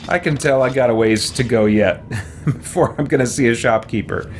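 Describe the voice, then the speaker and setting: A man's voice: mid-range voice, normal speed, a little hiss or hum. A man giving casual video game commentary, thinking aloud as he plays. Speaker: gaming streamer.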